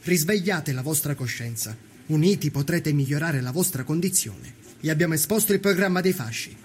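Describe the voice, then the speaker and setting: An actor playing a proud, fervent young Sicilian workers' leader in a dramatised documentary, speaking in declarative, rhetorical phrases. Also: male voice, a little high, expressive, fairly quick, slight background noise, lightly acted.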